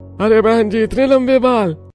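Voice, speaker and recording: A man voicing a loud village balloon seller in a cartoon story, with drawn-out hawking calls. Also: extremely high pitch, faint music behind, voice pushed up. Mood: amazed.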